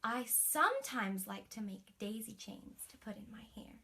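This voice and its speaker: A woman telling a bedtime story to young children as a princess, expressive, with breathy emphasis and chatty asides. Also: fairly high pitch, moderate pace.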